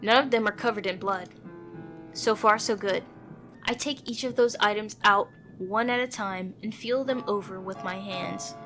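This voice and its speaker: A woman's voice: medium pitch, moderate pace, fairly bright tone. A woman reading a visual novel aloud, moving between narration and character dialogue, with music playing behind her. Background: background music.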